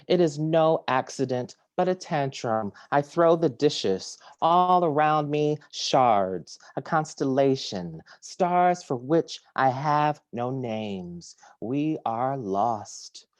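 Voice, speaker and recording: A man reading his poems aloud at home over a video call, measured and deliberate, sometimes dropping to a hush. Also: a little high, expressive, no echo. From Detroit, United States. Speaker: poet. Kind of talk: poetry reading. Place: home, at a kitchen table.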